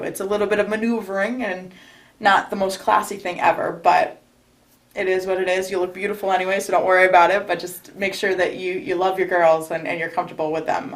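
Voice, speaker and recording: A woman's voice: fairly low pitch, low-quality recording. A young woman, a recent bride from New York state, giving casual interview advice in a chatty way with frequent restarts. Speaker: recently married bride, guest.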